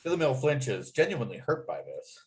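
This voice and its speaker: A male narrator with a medium-pitched voice reads a script aloud. He delivers scene headings and action in an expressive storytelling manner. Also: moderate pace.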